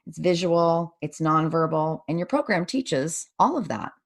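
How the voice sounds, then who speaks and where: Woman interviewer, low-pitched voice, composed, leading questions. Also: expressive, measured pace, vocal fry. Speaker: interview host.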